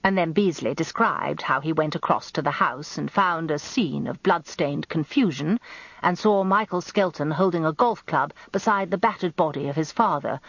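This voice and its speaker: A woman's voice: deep voice, dry recording, lo-fi sound. An older British woman narrating a scripted radio story in a wry, composed way. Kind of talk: scripted first-person narration with acted dialogue.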